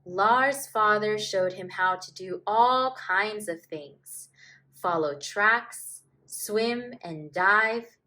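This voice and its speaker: A woman reads a children's picture book aloud like a teacher. Her voice is bright and forward, and she takes her time with the phrases. The delivery is expressive and emphatic, made for young listeners. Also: medium pitch, very slow, low-quality recording. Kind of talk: animated children's storybook narration.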